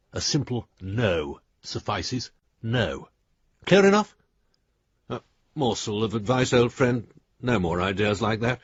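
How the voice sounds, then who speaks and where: An actor playing an exasperated older English adviser, husky, clipped and scornful, reading his letters aloud in a radio drama. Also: mid-range voice, no echo. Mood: curt, dismissive. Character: blunt, exasperated old friend and professional adviser, male. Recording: dry, slightly dull studio sound.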